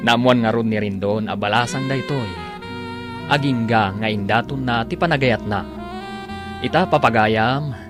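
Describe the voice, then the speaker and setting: Declamatory male radio announcer over music. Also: medium pitch. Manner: declamatory, emphatic. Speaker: radio drama announcer and narrator. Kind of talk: scripted dramatic narration. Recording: prominent musical bed.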